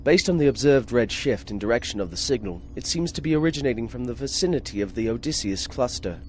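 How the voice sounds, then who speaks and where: A man voicing a passive-aggressive spaceship artificial intelligence, deadpan and dryly obedient, in a retro radio drama. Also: medium pitch, quick pace, a little hiss or hum, natural voice. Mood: matter-of-fact. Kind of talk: 1950s space radio serial acting.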